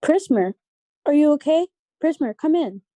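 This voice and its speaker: Woman as a high, mellow-voiced young superheroine. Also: expressive, moderate pace. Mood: concerned. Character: confident, brisk young superheroine of darkness. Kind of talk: table read of an amateur superhero script.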